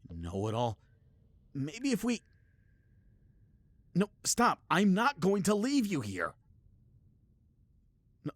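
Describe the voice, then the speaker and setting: A man's voice: fairly high pitch, no echo. A man playing a proud, loyal superhero in an audio roleplay, speaking with sardonic and earnest emphasis. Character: defiant male superhero, proud, sarcastic, fiercely loyal. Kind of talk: scripted audio roleplay acting.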